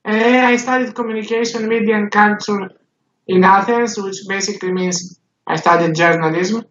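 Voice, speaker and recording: A woman's voice: mid-range voice, fairly even intonation, moderate pace, a bit bright. A woman in her thirties, a journalist and activist, explaining herself in a chatty way in an interview. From Greece. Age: 30s. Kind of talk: documentary interview. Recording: roomy, dull, slightly noisy.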